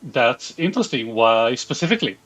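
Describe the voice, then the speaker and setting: A man with an Israeli accent hosts a live game stream, answering chat and muttering clipped fragments to himself as he works. His voice sits at a middle pitch. The sound is dull and lo-fi. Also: big pitch swings, brisk, a bit bright. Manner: mutters half-sentences to himself while building a character. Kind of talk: live gaming stream, reading chat aloud.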